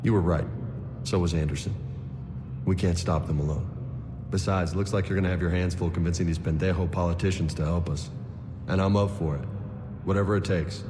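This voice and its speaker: Game role: tough male soldier, played by a man, very low voice, frank and earthy, clear ambient noise behind. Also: steady tone, fairly quick, pauses often, natural voice. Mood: determined. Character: tough, plain-spoken young male soldier, weary but loyal. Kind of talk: dramatic video game dialogue.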